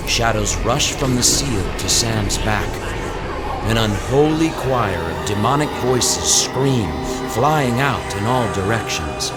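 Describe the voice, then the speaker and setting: A man giving dramatic scripted narration for a horror audio drama. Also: lowish voice. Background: music under the voice.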